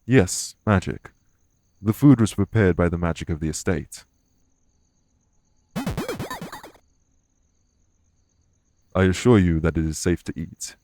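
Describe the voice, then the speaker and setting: A man playing a courteous, dour immortal king in an audio roleplay, speaking in a formal, measured way. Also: low-pitched, warm tone, slight background noise, close to an everyday voice. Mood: gracious. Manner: formal, measured, gravely polite. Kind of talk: immersive audio roleplay acting addressed to listener.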